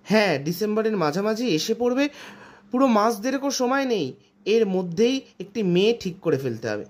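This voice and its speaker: A woman's voice: mid-range voice, very animated, normal speed, darkish tone. A woman voicing a status-proud, anglicised barrister father in storybook dialogue, dropping English words into the conversation. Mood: explaining, matter-of-fact.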